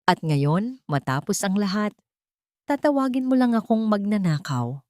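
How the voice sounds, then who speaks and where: A woman's voice: medium pitch, very animated, normal speed, a little mellow, no echo, clean studio sound, pitched above the performer's own voice, lightly acted. A woman playing a betrayed, self-sacrificing young provincial woman in an audio story, aggrieved and reproachful. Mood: hurt, reproachful.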